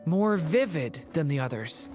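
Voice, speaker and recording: Lively, earnest young dragon boy. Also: low voice, a little slow, music in the background. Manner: sincere, open, friendly. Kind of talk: video game voice acting.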